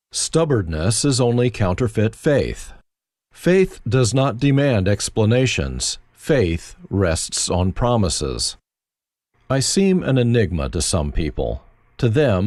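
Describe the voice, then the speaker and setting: Man, low voice, lively pitch. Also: dry recording. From Germany. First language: German. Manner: dry, self-deprecating humor. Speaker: autobiography narrator. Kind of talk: reflective first-person memoir reading.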